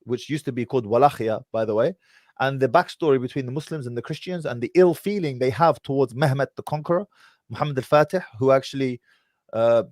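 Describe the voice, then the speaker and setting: A man's voice: medium pitch, a little mellow, no room sound, studio quality. A man hosting a livestream, pressing a caller with blunt questions and cutting in often. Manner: pushes back, interrupts with blunt questions. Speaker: host.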